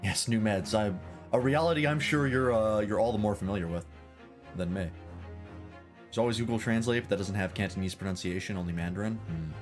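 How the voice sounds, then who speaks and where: A man's voice: lowish voice, fairly quick, soft background music. A man streaming a game, chatting casually and rambling with self-deprecating jokes.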